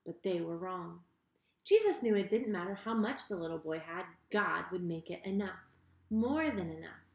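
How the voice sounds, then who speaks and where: A woman reading a children's story in an expressive, deliberate way, speaking directly to her young listeners. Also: fairly low pitch, gravelly. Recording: roomy, echoing sound.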